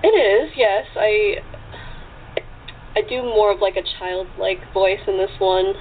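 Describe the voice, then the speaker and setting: Mid-pitched woman on a tinny call. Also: measured pace. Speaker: actress, interview guest. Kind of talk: casual interview chat. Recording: tinny phone-like call with hiss.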